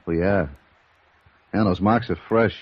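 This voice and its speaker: An American actor playing a sceptical, blunt police homicide lieutenant in a 1950s radio drama. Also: male voice, deep voice, measured pace. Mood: businesslike. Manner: blunt, colloquial. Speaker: supporting actor in a radio detective serial. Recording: dull, muffled vintage radio recording.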